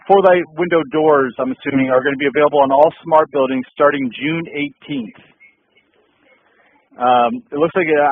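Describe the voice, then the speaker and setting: A man's voice: fairly high pitch, dry recording. A man hosting a business update call, talking casually with many ums and uhs and repeating his points. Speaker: host of a dealer update call. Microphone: phone line. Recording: tinny dry phone line.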